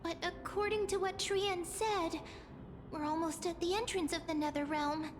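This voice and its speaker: Very high, childlike little girl. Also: steady tone, moderate pace, in a large hall, background noise. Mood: gentle, earnest. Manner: refers to self by own name, sweet, childish phrasing. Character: cheerful, childlike little girl spirit speaking in third person. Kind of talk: anime-style video game voice acting. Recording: game music behind the voice.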